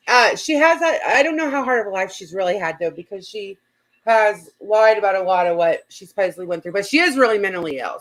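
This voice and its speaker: A woman's voice: medium pitch, fairly bright tone, low-quality recording. A woman hosting a live stream with casual reaction commentary, rambling and emphatic, often repeating herself.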